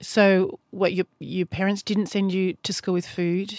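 A woman's voice: mid-range voice, level tone, unhurried, darkish tone, no echo. A woman hosting an interview, listening closely, encouraging her guest and asking probing follow-up questions. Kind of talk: casual interview.

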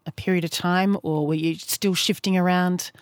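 A woman's voice: fairly low pitch, fairly even intonation, moderate pace, no room sound, studio quality. A woman hosting an interview, listening closely, encouraging her guest and asking probing follow-up questions. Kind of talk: casual interview.

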